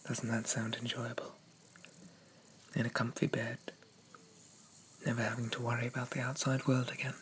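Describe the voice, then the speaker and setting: A man playing a possessive, haughty demon prince of dreams in an ASMR roleplay, speaking softly and breathily with a coaxing, condescending, regal manner and lingering pauses. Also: mid-range voice, flat delivery, natural voice. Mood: coaxing, seductive. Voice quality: soft, breathy, often whispered. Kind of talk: ASMR roleplay, soft half-whispered dramatic monologue. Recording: noticeable rain-like background noise.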